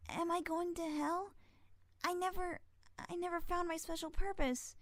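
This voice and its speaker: A woman's voice: extremely high pitch, fairly even intonation, normal speed, no echo. A woman voicing a young orphan pony filly in an audio drama, emotional and childlike. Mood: tearful, fearful. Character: young orphan filly, frightened, pleading, defiant.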